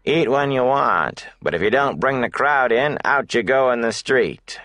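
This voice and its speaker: A man voicing a surly, stingy, menacing innkeeper in an audiobook, grudging and curt with rough folksy phrasing. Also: mid-range voice, lively intonation, measured pace, a bit bright, no echo, voice pushed up. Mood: threatening.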